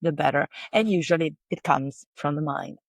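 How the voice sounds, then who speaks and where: A woman's voice: low-pitched, moderate pace, gravelly, husky, dry recording, clean studio sound. A woman hosting an interview, asking reflective, coaxing questions. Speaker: host, wellness coach. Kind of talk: interview conversation.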